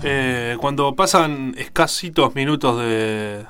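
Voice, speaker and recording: A man's voice: mid-range voice, slow pace, loud music behind the voice. A man with a Uruguayan accent hosting a radio show in a casual, hesitant, digressive way, often correcting himself. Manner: hesitant, rambling, self-correcting. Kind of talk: casual, digressive co-host banter.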